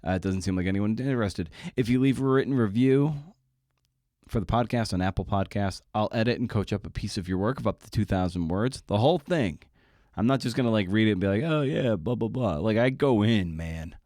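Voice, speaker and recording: A man's voice: lowish voice, brisk, darkish tone, no echo, clean studio sound. A man hosting a podcast in a casual, rambling monologue, with informal, slangy, self-deprecating phrasing. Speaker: podcast host.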